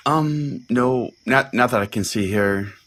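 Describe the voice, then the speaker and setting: A man playing a deadpan, dejected small-town pastor who hosts a comedic fiction podcast in a rambling, exasperated conversational way. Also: medium pitch, slow. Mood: matter-of-fact. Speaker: podcast host.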